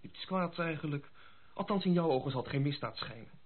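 Husky, high-voiced gentleman confidant, old radio. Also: expressive. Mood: reluctant, cautioning. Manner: hesitant, measured, formal old-fashioned phrasing. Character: worldly gentleman confidant, wry, cautioning, gossipy friend. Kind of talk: Dutch radio play acting. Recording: muffled, phone-like old radio recording.

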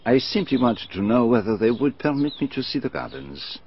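A man's voice: medium pitch, sing-song, a little fast, a little hiss or hum, close to an everyday voice. An actor playing a polite, probing detective who speaks formally and courteously and calls the woman mademoiselle, in a radio drama. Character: polite, probing man detective of foreign manner. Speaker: detective questioning a witness. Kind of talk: BBC radio drama acting. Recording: dull, muffled archive radio broadcast.

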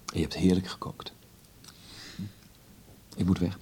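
A man's voice: low voice, flat delivery, unhurried, warm tone, a little hiss or hum. An actor playing a restless, ambitious young man of twenty-one in a naturalistic radio play, talking casually and reassuringly to his mother. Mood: fond, hurried. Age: young adult.

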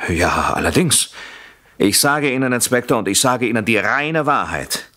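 A man's voice: mid-range voice, big pitch swings, normal speed, bright, forceful tone. A jealous, calculating husband speaks in a German radio play. His mid-range voice swings widely in intonation as he lays out his case, articulate and insistent. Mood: agitated insistence. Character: Mr Warren, scheming jealous husband confessing to police inspector.

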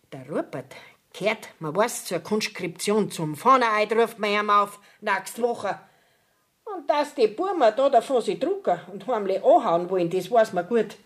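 A woman's voice: medium pitch, natural voice. A middle-aged woman playing an indignant, stubborn village widow in a dialect radio play, scolding emphatically with a Bavarian accent. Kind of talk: dialect radio drama acting.

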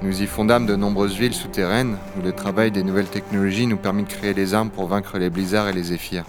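A man's voice: low-pitched, steady tone, fast talker, music in the background, natural voice. A man playing a rugged, wry dragon hunter of a frozen future in a science-fiction audio drama. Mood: grave, reflective. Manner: gravely reflective narration, familiar offhand banter. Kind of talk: French audio-fiction acting and first-person narration.

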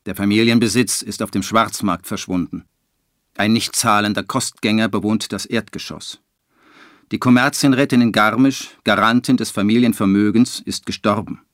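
A man's voice: fairly low pitch, fairly even intonation, moderate pace, a bit bright, dry recording, studio quality, close to an everyday voice. A man acting as a harried writer, narrating his troubles in the first person in a radio drama. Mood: dry, matter-of-fact. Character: harried male writer narrating his dwindling fortunes, dry.

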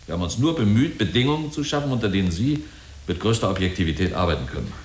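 Radio play corporate spokesman, male actor: low-voiced, polite and slick, in a reverberant room. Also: animated, quick pace, a little hiss or hum, natural voice. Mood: evasive, defensive. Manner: officious, polite, wordy. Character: glib, jovial, evasive male company representative. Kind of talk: German radio drama acting. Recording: roomy, echoing studio scene.

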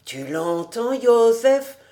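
A woman voicing a warm-hearted, tipsy gentleman, fondly insistent and coaxing, in an audiobook. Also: on the high side, sing-song, extremely slow, voice pushed up, exaggerated voice. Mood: tender, merry. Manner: murmuring, coaxing. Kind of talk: audiobook storytelling.

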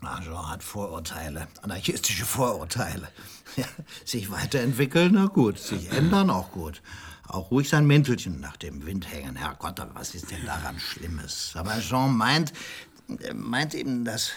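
An actor playing a touchy, evasive middle-aged ex-anarchist who justifies himself in a German radio crime play. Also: male voice, low-pitched, sing-song, normal speed, husky, full character voice. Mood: self-justifying.

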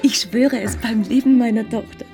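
A woman's voice: on the high side, moderate pace, vocal fry, over music. An actress playing a restless, romantic and evasive young married woman in a radio drama. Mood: coy, pleading. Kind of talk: German radio drama acting.

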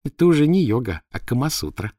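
A brash young woman assistant, cocky and quick with her fists, speaks up in a raised, boastful voice, as a male narrator puts her on. The sound is dry and close, with no room around it. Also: medium pitch, very animated, mellow, no echo, voice pushed up. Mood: cheeky. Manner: cheeky, boastful.

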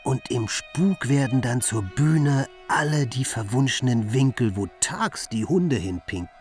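A man's voice: medium pitch, expressive, measured pace, a little mellow, faint music behind, natural voice. An actor playing a wry young bohemian man who recounts his wanderings in a musing literary radio monologue.

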